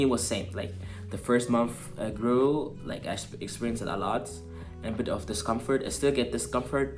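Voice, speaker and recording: A young man giving a casual personal update to camera, relaxed and rambling with lots of fillers. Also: fairly low pitch. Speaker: vlogger. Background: soft guitar music.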